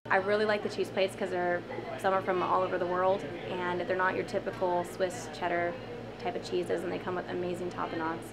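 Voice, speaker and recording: Young woman with gravelly, flat voice. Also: on the low side, brisk, a loud crowd. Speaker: cafe staff. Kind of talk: interview. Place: cafe, indoors. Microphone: lapel.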